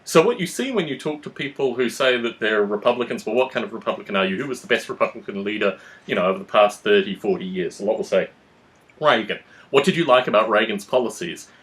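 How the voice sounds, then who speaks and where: A man's voice: lowish voice, lively intonation, fast, fairly bright tone, low-quality recording. A man hosting a conversational discussion, explaining his views in a long-winded, analytical way. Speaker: podcast host.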